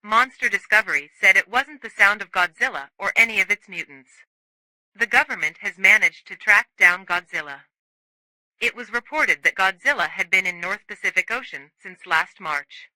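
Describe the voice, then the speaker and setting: Bright, high-pitched man playing a news reporter. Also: animated, moderate pace, no echo, full character voice, poor audio. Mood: grave, reporting. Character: news reporter announcing a monster disaster. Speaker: news narrator. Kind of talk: scripted news narration.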